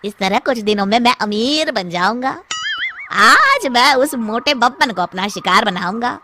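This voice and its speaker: A woman's voice: on the high side, animated, measured pace, very noisy. A woman voicing a sneaky, vengeful male thief in a cartoon story, gloating and taunting as he talks. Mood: greedy, gloating. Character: Kalia, sneaky vengeful male thief turned invisible, posing as ghost.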